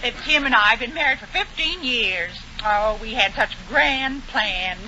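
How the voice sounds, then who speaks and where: A woman's voice: fairly high pitch, lively intonation, a little slow, pushed and bright, slight background noise, full character voice. An actress playing a gushing, chatty middle-aged wife and mother with effusive small talk, in 1940s radio comedy style. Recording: muffled 1940 radio broadcast.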